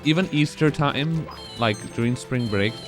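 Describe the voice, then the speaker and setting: A man's voice: mid-range voice, measured pace, over music. A man in his twenties speedrunning on a live stream, rambling casually and answering chat between moves.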